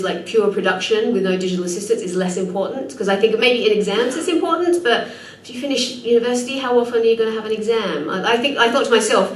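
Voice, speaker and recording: Woman, conference presenter, rapid speech, thinking aloud, echoey room, distant mic. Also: medium pitch. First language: English. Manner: quick, thinking aloud with fillers. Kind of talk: conference talk and Q&A.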